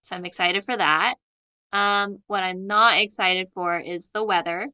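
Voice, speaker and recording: Bright, giggly young woman chatting. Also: mid-range voice, fairly even intonation, measured pace, clear, resonant tone. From California. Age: 20s. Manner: chatty, giggly, many "like" fillers. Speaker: guest, new veterinarian. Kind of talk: casual podcast chat.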